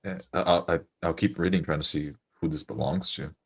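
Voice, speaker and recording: Very deep-voiced man playing roleplay. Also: steady tone, fairly bright tone, slightly rough. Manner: halting, tentative. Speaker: tabletop roleplay player. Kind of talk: improvised narration of own character's actions.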